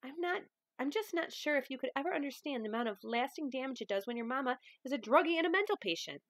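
A woman's voice: sits high, expressive, fast talker, no echo. A woman reading a novel aloud as a hurt, defensive woman of thirty-one, emotional and pleading. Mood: hurt, angry.

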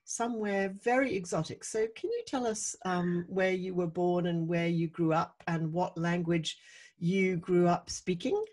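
A woman's voice: lowish voice, fairly even intonation, a little slow, darkish tone. An older woman interviewing an author on a video call, asking long, curious questions from her home study. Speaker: interviewer host. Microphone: studio mic on arm, video call.

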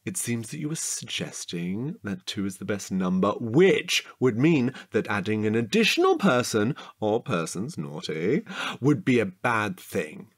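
Excitable, chatty comic sidekick. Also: male voice, on the low side, unhurried, breathy edge, heavily acted. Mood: needy, teasing. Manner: rambling, theatrical, eager, with gasps. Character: chatty, excitable, needy male sidekick, easily sidetracked. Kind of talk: comic audio drama dialogue.

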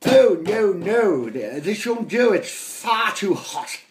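A man's voice: sits high, big pitch swings, takes it slowly, noisy background, voice pushed up, full character voice. A man putting on a snooty posh mother. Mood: haughty. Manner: plummy, snobbish. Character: haughty posh upper-class mother, bossy. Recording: roomy echo.

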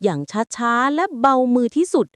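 A woman reading a bedtime story aloud in a slow, soothing way. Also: a little high, expressive, clean tone, dry recording, clean studio sound.

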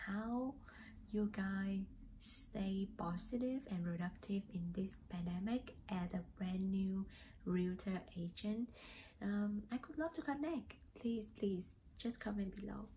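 A woman's voice: medium pitch, mellow, a little hiss or hum, poor audio. A young woman from Vietnam with a Vietnamese accent, talking casually to camera as a new real estate agent, hesitant with many fillers. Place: small plain indoor room.

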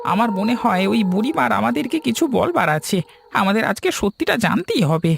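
A woman's voice: fairly high pitch, very animated, brisk, gravelly, noisy background, heavily acted. A woman voicing a determined, earnest villager out to help a ghost in a horror cartoon. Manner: resolute, earnest.

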